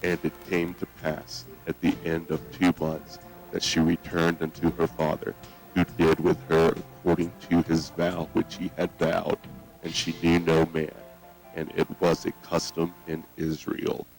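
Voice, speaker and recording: Man playing a very deep, solemn old grandfather. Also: monotone, a little mellow, soft background music, low-quality recording. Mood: grave, preaching. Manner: solemn, deliberate, sermonizing. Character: solemn old grandfather, cult patriarch preaching child sacrifice. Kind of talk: slow scripture storytelling in a children's horror tape.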